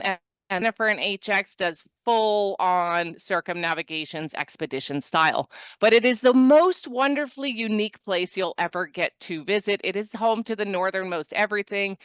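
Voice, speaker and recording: Woman from Norway, travel presenter on a video call. Crisp, clean delivery in mid register, informal and upbeat with wry asides, no room echo. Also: mid-range voice, a bit bright. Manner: informal, enthusiastic, joking asides. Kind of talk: promotional virtual presentation.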